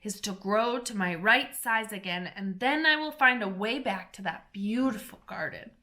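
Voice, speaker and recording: A woman reading aloud, voicing the curious young girl Alice in a storybook with a clear, earnest delivery. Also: mid-range voice, normal speed, bright, forceful tone, natural voice. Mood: thoughtful.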